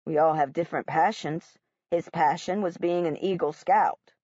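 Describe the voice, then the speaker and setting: A woman voicing a gentle, sorrowful middle-aged male art teacher who speaks softly and slowly. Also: deep voice, dry recording, highs cut off, pitched below the performer's own voice. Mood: gentle sad. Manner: soft, slow, sorrowful.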